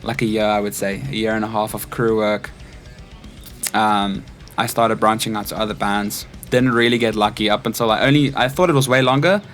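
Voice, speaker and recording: A man's voice: lowish voice, steady tone, brisk, a bit bright, soft background music. A man in his twenties with a South African accent, telling personal stories casually as an interview guest. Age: 20s. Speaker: interview guest, stage crew technician. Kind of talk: casual chat, personal anecdotes.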